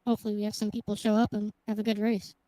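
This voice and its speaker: Quick, shy young-sounding woman driver. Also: mid-range voice, completely flat delivery, warm tone, no room sound, rough recording. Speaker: race driver being interviewed. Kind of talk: post-race interview.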